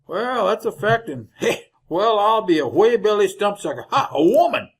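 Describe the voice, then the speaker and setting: An actor playing a garrulous, folksy wagon driver in a radio western, with an American Western accent and colorful rustic slang in a husky voice. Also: male voice, sits high, big pitch swings, moderate pace, heavily acted. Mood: astonished. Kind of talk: old-time radio western acting.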